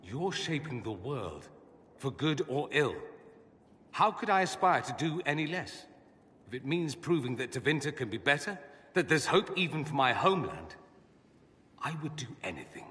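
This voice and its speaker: A thoughtful male mage with a bright, crisp voice. He often sinks into a murmur as he muses. Long reverb and faint ambience surround him. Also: mid-range voice, sing-song, normal speed. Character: earnest male mage, proud but reflective.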